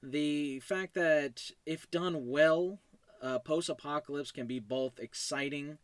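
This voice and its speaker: A man's voice: fairly high pitch, slow, no room sound. A young man talking casually as a solo podcast host, in an informal, rambling way and often correcting himself. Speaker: podcast host and show creator.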